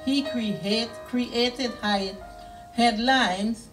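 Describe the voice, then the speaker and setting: A middle-aged woman reading a picture book aloud to young children in a deliberate, expressive way, pausing to comment on the pictures. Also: on the high side, distant mic, faint music behind. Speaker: children's storyteller. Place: small indoor children's reading room.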